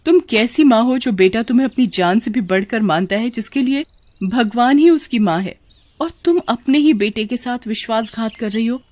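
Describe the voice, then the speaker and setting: A woman's voice: a little high, animated, moderate pace, darkish tone, no room sound, pitched above the performer's own voice, natural voice. Woman doing an outspoken young wife in a cartoon, insistent and direct, dry sound. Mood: accusing. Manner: urgent, insistent, accusing. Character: educated, outspoken young wife confronting deceit. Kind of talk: cartoon story character voice.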